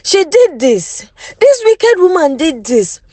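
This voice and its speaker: Woman acting a high, wailing, fiery village wife. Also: very animated, slow, dark tone, no echo, full character voice. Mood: angry, accusing. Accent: Nigerian. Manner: wailing, exclamatory.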